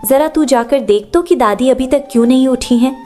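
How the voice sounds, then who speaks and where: A practical, caring village wife and mother played by a woman in a children's cartoon. Her voice is fairly high, with music underneath. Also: moderate pace, warm tone, natural voice. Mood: gentle, agreeable. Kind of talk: Hindi cartoon moral story voice acting.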